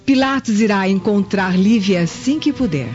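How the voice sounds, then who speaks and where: A woman's voice: medium pitch, animated, moderate pace, close to an everyday voice. A woman playing a scheming, haughty Roman noblewoman in a radio drama, insinuating and theatrical. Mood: sly, menacing. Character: scheming, venomous Roman noblewoman spreading slander. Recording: dull lo-fi radio drama, music behind.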